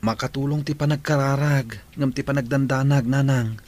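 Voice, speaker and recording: A man acting a concerned grown son who gently reassures his mother in an Ilocano radio drama. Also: medium pitch, fairly even intonation, normal speed, lightly acted. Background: music.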